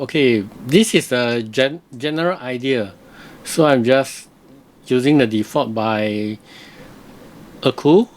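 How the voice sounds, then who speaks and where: A man giving casual screen-recorded software review commentary, thinking aloud in simple, hesitant phrases. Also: medium pitch.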